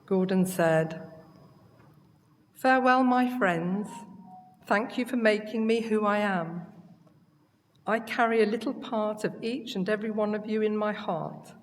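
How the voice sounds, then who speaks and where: A woman reading a prepared eulogy at a funeral in a measured, solemn way. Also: medium pitch. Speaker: funeral eulogist, friend of the deceased. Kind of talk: prepared eulogy read aloud. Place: crematorium chapel during a funeral service. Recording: echoey hall reverb, faint background noise.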